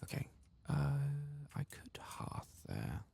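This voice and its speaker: Very deep, gravelly soft-spoken man. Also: huge pitch swings, takes it slowly, whispered. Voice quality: husky, breathy. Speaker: gaming ASMR host. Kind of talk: soft-spoken, whispered gameplay commentary.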